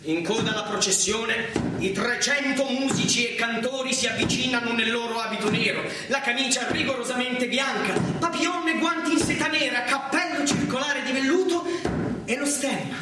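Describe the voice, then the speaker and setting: A man giving scripted theatrical narration with a dramatic, deliberate delivery. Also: extremely high pitch. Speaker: actor and narrator. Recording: echoey, noisy, distant stage recording.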